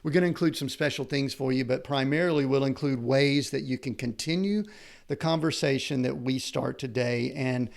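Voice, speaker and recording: A man's voice: medium pitch, moderate pace, creaky. A middle-aged man hosting a podcast interview, talking in a conversational, enthusiastic way with frequent restarts. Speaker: podcast host and business coach. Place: family home indoors.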